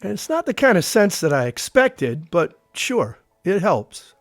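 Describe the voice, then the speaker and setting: An actor playing a grown war veteran, curious yet uneasy, with a plainspoken conversational manner in an audio drama. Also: male voice, sits high, very animated, measured pace, no echo, clean studio sound, soft background music, full character voice. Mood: curious, friendly.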